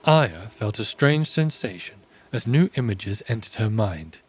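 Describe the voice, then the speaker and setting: A man's voice: on the low side, very animated, measured pace, slightly rough. A man giving scripted narration for a fantasy audio drama, in the style of a storybook. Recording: music bed under voice.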